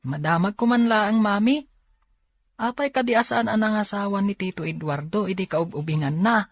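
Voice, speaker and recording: A woman's voice: mid-range voice, moderate pace, no echo, lightly acted. A woman with an Ilocano accent acting a curious youngster who questions mother, in a radio drama. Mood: curious, wistful.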